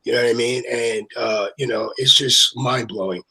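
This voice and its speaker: Raspy, excitable Brooklyn man. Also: medium pitch, normal speed, poor audio. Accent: New York. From Brooklyn, New York. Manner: profane, excitable, repeats "you know what I mean". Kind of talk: casual video-call chat.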